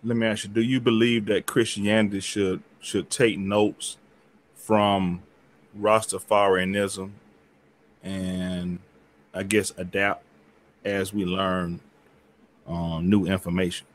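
A man hosting a video call, asking long questions haltingly with many restarts. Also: low voice, flat delivery, slight background noise. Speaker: host. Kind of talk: casual discussion.

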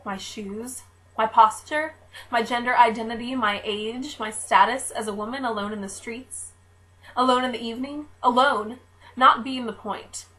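A woman's voice: fairly high pitch, steady tone, normal speed, fairly bright tone, rough recording. A woman reciting spoken-word poetry in an emphatic, listing cadence.

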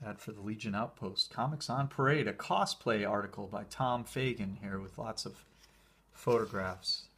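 A man talks off camera in a low, slightly husky voice while leafing through an old program indoors. His commentary is relaxed and enthusiastic, with easy fillers and short pauses. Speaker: collector showing a convention program. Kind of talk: casual flip-through commentary.

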